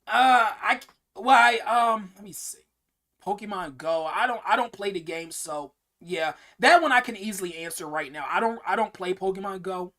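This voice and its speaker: A man's voice: sits high, lively intonation, moderate pace, pushed and bright. A young man hosting a casual live-stream Q&A, answering viewer questions in a rambling way, often repeating words and restarting sentences.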